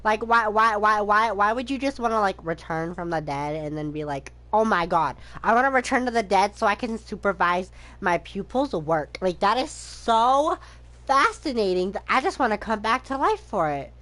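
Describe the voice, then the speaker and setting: A woman giving casual, chatty playthrough commentary as she reacts to a game's story in an informal, expressive way. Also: mid-range voice, normal speed. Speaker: gaming streamer reacting to game story. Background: game music.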